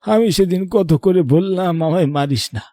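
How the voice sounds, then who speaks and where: An indignant old grandfather out of a horror cartoon, played by a man. His voice is dark and a bit high for a man, and he scolds reproachfully. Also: normal speed, no room sound, studio quality, lightly acted. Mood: bitter, vengeful. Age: older. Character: indignant old grandfather, murdered, returns as vengeful ghost. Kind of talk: Bengali horror cartoon voice acting.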